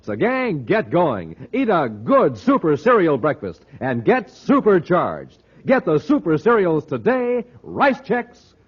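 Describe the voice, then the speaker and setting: A man working as a 1950s radio announcer, delivering a punchy, exuberant commercial pitch aimed at youngsters. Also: huge pitch swings, slow, few pauses, breathy edge. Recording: slightly muffled vintage radio recording.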